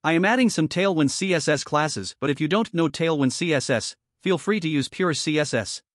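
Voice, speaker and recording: Man, tutorial presenter, fairly high voice, scripted narration, dry close recording. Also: brisk, no echo.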